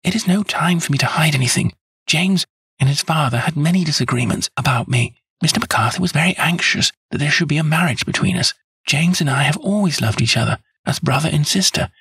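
An earnest young woman , as a male reader puts her on, insistent and pleading, her voice lifted high above his own. It is breathy and husky, close and dry, with no room around it. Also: animated, fairly quick, a bit bright, no echo, heavily acted. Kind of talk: audiobook character voice.

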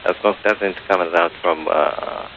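A man working as an air traffic controller, giving procedural instructions haltingly over aviation radio. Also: low voice, fairly even intonation, gravelly. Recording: narrowband radio transmission with hiss.

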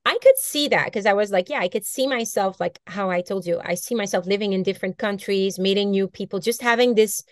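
A woman in her forties, a native Dutch speaker, telling her story with animated gestures over a video call from a bright room at home. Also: mid-range voice, a little fast, hardly any pauses, no echo, studio quality. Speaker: guest, mindset coach. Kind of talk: casual video-call interview. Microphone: video call laptop mic.

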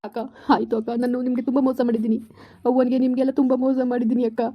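A woman plays a sobbing young husband in a village drama, begging his sister through tears. He rushes his words in a high, oddly flat line. The sound is dry and a bit lo-fi. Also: no room sound. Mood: tearful pleading. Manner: pleading, whimpering. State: crying. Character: young man, deceived husband, weeping and pleading. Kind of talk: village family drama skit.

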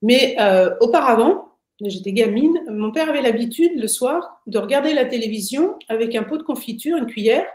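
A woman of sixty-six gives a personal account in conversation, often halting to find her words. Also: on the high side, lively intonation, darkish tone. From Paris, France. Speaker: interview guest, retiree. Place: indoors, plain room on video call. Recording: roomy, echoing video call.

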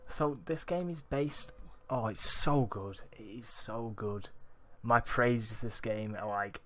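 A young man with a Manchester accent, rambling excitedly over a video game, his morning voice groggy and deep. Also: slow, a little hiss or hum. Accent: Manchester English. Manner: rambling, excitable, swears often. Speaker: let's play gamer. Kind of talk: casual gameplay commentary.

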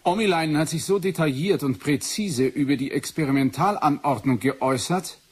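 An actor playing an analytical, brooding research doctor in a German 1980s science fiction radio play, speaking in a measured, formal way. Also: male voice, fairly high pitch, a little hiss or hum, close to an everyday voice. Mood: intent, explaining. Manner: measured, formal, clipped dictation.

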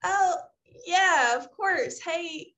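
A woman acts out a super perky suburban neighbor in her thirties, eager to make friends. Her voice sits high, chirpy and over-friendly, in a sitcom table read from home. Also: extremely slow, a bit bright, full character voice. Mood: bright, perky. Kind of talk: comedy script table read. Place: home room on a video call.

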